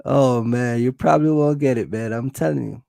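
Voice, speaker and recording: A man's voice: mid-range voice, brisk, vocal fry, mellow, dry recording, clean studio sound. A man hosting a casual livestream, giving business advice straight to viewers in an emphatic, repetitive way. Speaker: host of a trucking business livestream.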